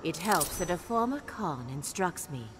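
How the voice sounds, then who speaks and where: An actress playing a stern, loyal warrior bodyguard with a firm, guarded, defiant manner in a video game. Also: female voice, lowish voice, very animated, moderate pace, heavy background noise. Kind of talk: video game fighter intro dialogue. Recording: long cavernous reverb, music behind.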